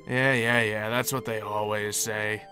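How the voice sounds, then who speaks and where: A man's voice: fairly low pitch, level tone, a little slow, sharp tone. A man playing a cocky, reckless werewolf second-in-command in an improvised game roleplay, talking in a flippant, impulsive, casual way. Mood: flippant, eager. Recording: music behind the voice. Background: background music.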